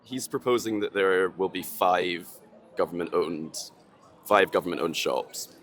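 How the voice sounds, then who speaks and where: A student man arguing casually on the street, halting and filling gaps with "like" and "I mean". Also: low voice, fairly bright tone. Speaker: student interviewee.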